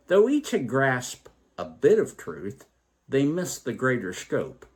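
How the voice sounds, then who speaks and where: A male storytelling host with a mid-pitched voice that moves up and down freely. He takes his time, speaking deliberately and emphatically as he narrates and draws out a moral. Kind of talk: expressive storytelling with moral reflection.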